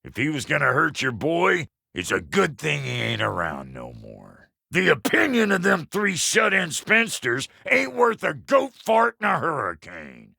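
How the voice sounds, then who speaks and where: A cranky dwarf blacksmith from a video game, crude and folksy, with an American accent. His gravelly voice is forceful and swoops wildly up and down as he gripes and blusters. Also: on the high side, huge pitch swings, unhurried, few pauses, no room sound, clean studio sound, heavily acted. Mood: blunt, reassuring. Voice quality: gravelly, rough. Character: gruff, foul-mouthed, cranky dwarf blacksmith. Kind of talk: video game character voice acting.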